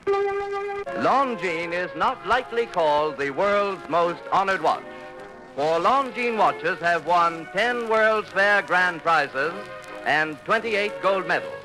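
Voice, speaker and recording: Slow, stately American male announcer over music. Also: sits high, sing-song, poor audio. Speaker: commercial announcer. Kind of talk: vintage radio advertisement.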